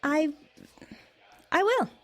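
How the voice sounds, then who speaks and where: A woman's voice: very high voice, expressive, very slow, heavily acted. An actress playing a flustered, earnest, suspicious middle-aged mother turned amateur sleuth in an audio drama, hesitant in her natural dialogue.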